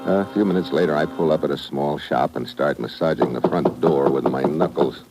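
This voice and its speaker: A man's voice: a bass voice, moderate pace, over loud music, poor audio. An actor playing a tough, terse American secret agent who narrates his own adventure in old radio drama style. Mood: terse, businesslike. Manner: clipped, hard-boiled, matter-of-fact. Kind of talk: 1950s radio adventure acting, hard-boiled narration. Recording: vintage radio drama recording.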